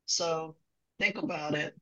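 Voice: medium pitch, fairly even intonation, takes it slowly, a bit bright, low-quality recording. Book group host, mid-range voice, casual and conversational group talk.

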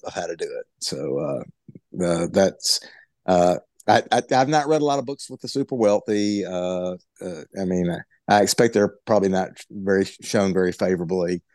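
A deep-voiced man speaks in a dry, close recording. He stumbles and repeats himself often but keeps his views blunt. It sounds like a casual book club chat. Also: a little mellow, dry recording. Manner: halting, many stammered repeats; blunt and opinionated. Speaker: book group member. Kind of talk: casual group discussion.

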